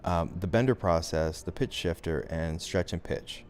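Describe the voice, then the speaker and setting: Low-voiced man giving a software tutorial. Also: moderate pace, slight background noise. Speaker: instructor. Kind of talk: software tutorial, talking through steps.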